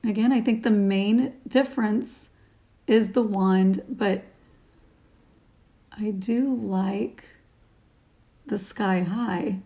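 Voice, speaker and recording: A woman in her thirties with a slightly raspy morning voice, giving a casual, chatty product review to camera into a phone at home. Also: mid-range voice, steady tone, very slow, long pauses, mellow. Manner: chatty, informal, talking while applying makeup. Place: small room at home, indoors. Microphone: phone at arm's length.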